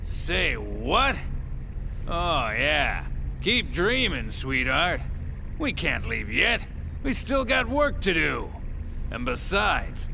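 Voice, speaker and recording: An actor playing a gruff, brash, cowardly freighter captain with a gravelly voice in a video game. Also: male voice, fairly high pitch, wildly swooping pitch, slow, bright, forceful tone, a little hiss or hum, exaggerated voice. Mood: scornful. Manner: brash, sarcastic, exclamatory. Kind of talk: animated video game dialogue acting.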